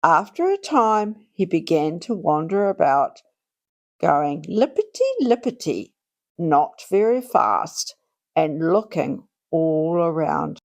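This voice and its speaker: A woman reading a children's storybook aloud in an expressive, deliberate way, drawing out her phrases for young listeners. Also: lowish voice. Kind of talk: read-aloud storybook narration.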